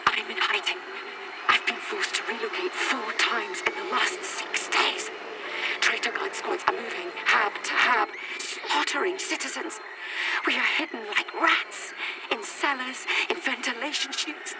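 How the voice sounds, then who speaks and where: A man's voice: very high voice, unhurried, over loud music, poor audio. An actor playing a frightened, urgent man sending formal, pleading distress transmissions over radio in a sci-fi game. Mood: fearful, hushed. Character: frightened, desperate male radio broadcaster in hiding during invasion.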